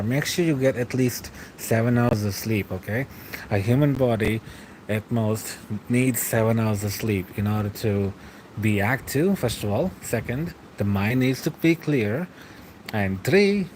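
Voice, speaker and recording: A young man streaming late at night from home, answering live chat in a relaxed, rambling way, often laughing and trailing off. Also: medium pitch, slight background noise, poor audio.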